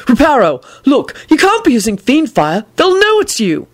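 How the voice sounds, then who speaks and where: An impulsive young wizard with a shrill, squeaky voice, put on by a woman. His pitch leaps high and wide with eager excitement. This is a read-aloud storybook voice. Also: normal speed, exaggerated voice. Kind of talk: fan fiction reading.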